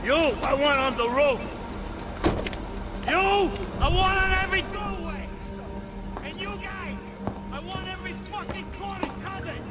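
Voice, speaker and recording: A vengeful gangland father bellows his threats at a very high, strained pitch. He is a commanding, raging crime boss in a video game. Loud music surrounds the voice. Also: measured pace, long pauses. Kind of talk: video game cutscene acting.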